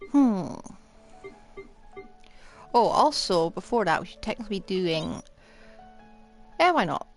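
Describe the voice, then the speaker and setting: A woman's voice: mid-range voice, animated, slow. A woman streaming a video game, chatting casually with rambling, joking asides. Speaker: live streamer. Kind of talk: casual gaming livestream chat. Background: game music.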